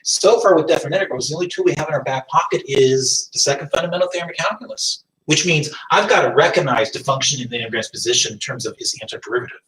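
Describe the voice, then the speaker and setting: A math teacher whose first language is French, lecturing a class and thinking aloud, often stopping to rephrase. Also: male voice, on the high side, expressive, fast talker, hardly any pauses, a bit bright, slight background noise. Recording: echoey room, distant mic.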